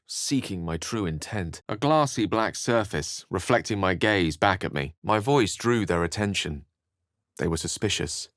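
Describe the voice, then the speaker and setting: A low-pitched, bookish wizard in a fantasy video game. He is wry and courteous, choosing ornate words with theatrical care. His intonation rises and falls widely, and the recording is dry and close. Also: fairly bright tone, no echo. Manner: articulate, ornate, dramatic phrasing. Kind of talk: theatrical fantasy game dialogue and storybook-like narration.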